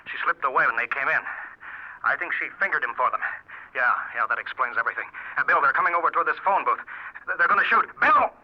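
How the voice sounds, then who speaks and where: An actor playing an eager, breezy newspaper reporter with slangy, excitable delivery in a 1940s radio drama. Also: male voice, a little high, fast talker. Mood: alarmed. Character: eager, smitten male reporter sidekick. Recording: muffled 1940s radio recording.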